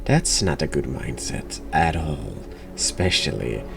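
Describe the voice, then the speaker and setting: Very deep, gravelly man, dryly sarcastic. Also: animated, unhurried, music in the background. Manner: dry, sarcastic, muttered replies. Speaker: game streamer, virtual YouTuber. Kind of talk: reactive gaming commentary.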